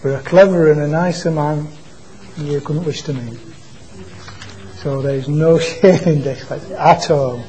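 A man gives an informal church sermon in a naturally quiet voice. His tone is mellow and a little higher than usual, and he often stops and restarts his words. Faint room ambience sits behind him. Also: fairly even intonation, a little hiss or hum, rough recording. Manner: halting, repeats and restarts words. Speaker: preacher. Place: church meeting. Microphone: microphone being adjusted.

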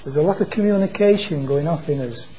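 A man gives an informal church sermon in a naturally quiet voice. His tone is mellow and a little higher than usual, and he often stops and restarts his words. Faint room ambience sits behind him. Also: a little hiss or hum, poor audio. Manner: halting, repeats and restarts words. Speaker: preacher. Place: church meeting. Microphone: microphone being adjusted.

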